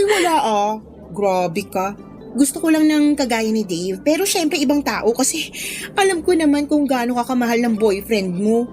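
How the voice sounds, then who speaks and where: A young Filipino woman, played by an actress as the gushing best friend in a radio drama. She is exclamatory and easily thrilled, with a high voice over background music. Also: a little mellow, lightly acted.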